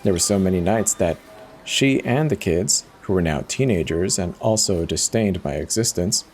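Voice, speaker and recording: A man's voice: sits low, darkish tone, a little hiss or hum. A man giving a dramatic first-person reading of a horror story as its narrator.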